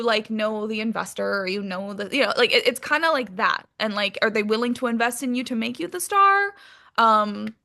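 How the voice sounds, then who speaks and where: A woman's voice: fairly high pitch, vocal fry, bright, forceful tone. A woman, an actress from Hong Kong, chatting casually and animatedly as an interview guest, with informal, sweary phrasing full of "like". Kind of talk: casual interview chat, telling career anecdotes.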